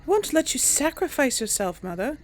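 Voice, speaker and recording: A woman role-playing a young noblewoman daughter in a fantasy game, hesitant but defiant, with sighs and pauses. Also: high voice, very animated, a little hiss or hum. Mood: reluctant. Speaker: gamer role-playing for an audience. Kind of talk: amateur role-play of game dialogue.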